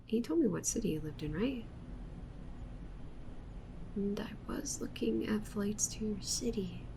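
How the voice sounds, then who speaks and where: A woman playing a clingy, possessive yandere witch streamer in an ASMR roleplay, pleading intimately with the listener and often slipping into breathy whispers. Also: medium pitch, lively intonation, a little slow, background noise.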